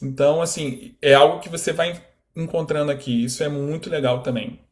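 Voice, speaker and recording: A Brazilian man in his thirties from Rio, a guest talking casually in an interview, animated and full of fillers. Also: fairly high pitch, fairly quick. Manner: animated, quotes imagined speech, many fillers.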